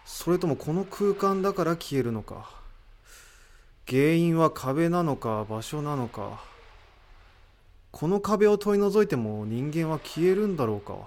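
A man playing a thoughtful, analytical character in a voice drama, deliberate and explanatory, posing rhetorical questions. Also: fairly high pitch, a little mellow. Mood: pondering. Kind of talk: amateur voice drama acting.

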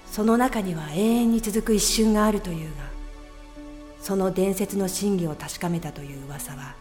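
A woman acting as the solemn, unhurried narrator of a mysterious audio drama. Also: medium pitch, mellow, soft background music, close to an everyday voice.

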